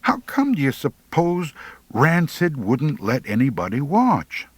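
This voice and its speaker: Man as an excitable, high-pitched teenage country boy. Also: measured pace, husky, dry recording, exaggerated voice. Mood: eager, sheepish.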